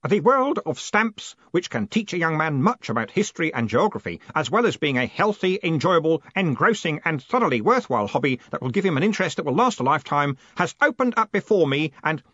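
A man's voice: a little high, very animated, brisk, few pauses, fairly bright tone, no room sound, highs cut off, pitched above the performer's own voice. A man voicing an eager, naive young clerk in an audiobook, earnest, deferential and a bit pedantic. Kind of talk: audiobook character voice.

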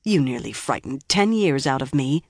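A woman's voice: rumbling low, lively intonation, normal speed, no echo, clean studio sound, heavily acted. A woman reading an audiobook, voicing a proud village wise woman who argues indignantly and tries to sound authoritative. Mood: muttering, annoyed.

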